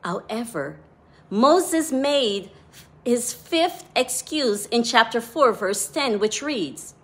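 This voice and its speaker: Slow-speaking Jamaican woman devotional author. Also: fairly high pitch, lively intonation. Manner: measured, deliberate reading of scripture and reflection. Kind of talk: scripted devotional talk.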